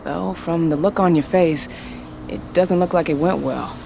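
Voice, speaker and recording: An actress playing a frank, supportive activist comrade and old friend, casual and blunt, in a video game cutscene. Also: female voice, fairly low pitch, normal speed. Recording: clear ambient noise behind the voice.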